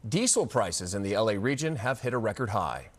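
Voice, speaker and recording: A man's voice: on the low side, lively intonation, brisk, slight background noise. A man reading scripted television news as an anchor.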